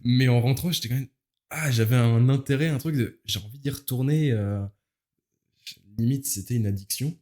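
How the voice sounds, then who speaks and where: A man's voice: medium pitch, moderate pace, a little mellow. A nineteen-year-old man, chatting casually as an interview guest in youthful slang, searching for his words. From Paris, France. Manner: informal, youthful slang, hesitant searching phrasing. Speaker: interview guest, musical theatre performer.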